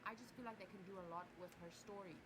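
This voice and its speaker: A woman's voice: mid-range voice, racing, background noise. A woman with an Afrikaans accent, whose first language is Afrikaans, gives a voiceover ranking anime characters and often hesitates and restarts her sentences. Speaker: anime reviewer.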